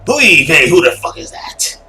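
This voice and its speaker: A man's voice: a little high, very animated, measured pace, bright, forceful tone, faint music behind. A man hosting a gaming video, reacting in informal, baffled and profane commentary. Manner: exclaiming, comically baffled, profane. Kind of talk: informal let's play commentary.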